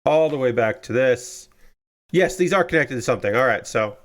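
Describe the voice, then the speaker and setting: A man streaming live from a small room at home, chatting casually and rambling while he builds, with a loud booming voice. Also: animated, moderate pace. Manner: rambling, chatty, restarts often.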